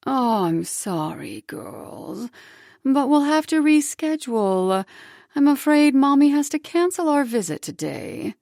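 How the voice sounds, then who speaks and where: A woman's voice: high-pitched, sing-song, slow pace, vocal fry, a little mellow, dry recording, clean studio sound, voice pushed up, exaggerated voice. A woman voicing a cold, condescending ex-wife with a clipped, icy way of speaking, in an audiobook.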